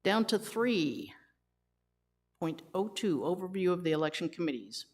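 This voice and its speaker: A woman's voice: lowish voice, expressive, a little slow, darkish tone. A woman chairing a community committee meeting, reading formal procedures aloud and cracking dry jokes at the room. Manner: wisecracking, reads formal rules then jokes with the room.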